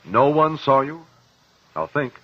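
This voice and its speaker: A man playing a sharp, probing courtroom investigator in 1950s radio drama, formal and insistent. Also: mid-range voice, big pitch swings, takes it slowly. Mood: firm. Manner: insistent, formal cross-examining. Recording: muffled old radio recording.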